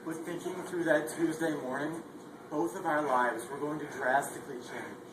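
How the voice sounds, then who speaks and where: A student reading a calm, reflective husband. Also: male voice, a little high, moderate pace, distant mic, close to an everyday voice. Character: husband narrating his final day, calm. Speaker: readers theatre performer. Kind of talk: reflective narration. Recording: echoey hall, noisy.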